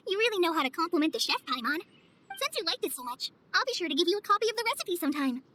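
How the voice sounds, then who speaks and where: A woman playing a kindly young forest ranger trainee in a video game, gentle and earnest, with a hesitant, apologetic manner. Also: extremely high pitch, sing-song, quick pace, a little hiss or hum, exaggerated voice. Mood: friendly, eager. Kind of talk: video game cutscene voice acting. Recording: music behind the voice.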